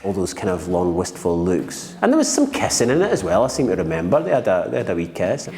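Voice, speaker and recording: A man, an actor musing in a relaxed interview. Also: low voice, fast talker, long reverb tail, slight background noise. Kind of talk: casual interview.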